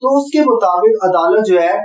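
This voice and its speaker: A man's voice: sits high, expressive, moderate pace, a little mellow. A man with a Pakistani accent giving a methodical, explanatory law lecture. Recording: roomy echo, distant from mic.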